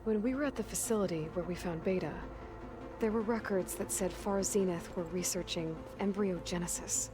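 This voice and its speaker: A woman's voice: medium pitch, normal speed, natural voice. An actress playing an earnest, inquisitive young woman adventurer in a video game, asking questions in a matter-of-fact way. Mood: reflective. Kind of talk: video game cutscene dialogue. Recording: game music behind the voice. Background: music.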